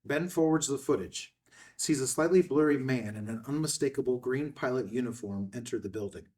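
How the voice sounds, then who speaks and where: A man's voice: mid-range voice, fairly quick, darkish tone, recorded from a distance. A man narrating screenplay action lines at a table read. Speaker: stage-direction narrator.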